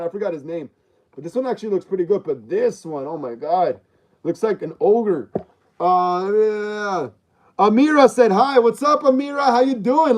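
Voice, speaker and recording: A man in his thirties with a fairly high yet mellow voice, hosting a laid-back livestream. He reads out viewer comments and answers them in a repetitive, slangy way. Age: 30s. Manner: chatty and repetitive, uses slang like bro and dog. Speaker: livestream host. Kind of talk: casual live-stream chat reading viewer comments.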